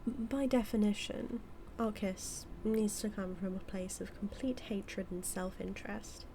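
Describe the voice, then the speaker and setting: A British-accented woman playing a smug, manipulative evil magician in a scripted audio roleplay, teasing and taunting with deliberate, drawn-out phrasing. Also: medium pitch, steady tone, warm tone, slight background noise, close to an everyday voice. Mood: coolly persuasive.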